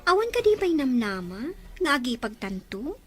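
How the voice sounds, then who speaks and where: A woman playing a lively, teasing younger sister in a drama, with an animated manner. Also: sits high, moderate pace, breathy edge, faint music behind, heavily acted. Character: lively, teasing young woman talking up her older brother. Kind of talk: Ilocano radio-style drama acting.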